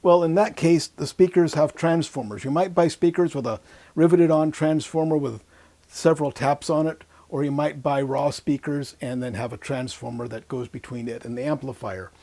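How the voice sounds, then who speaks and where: An older man gives an informal technical lesson, thinking aloud as he explains. His voice sits a little high for a man, with a soft, mellow tone and a noticeable creak. He is close-miked on a lapel in a small room. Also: moderate pace. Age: 60s or older. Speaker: electronics instructor. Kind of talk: explanatory whiteboard lecture. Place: small indoor room at a whiteboard. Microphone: lapel mic.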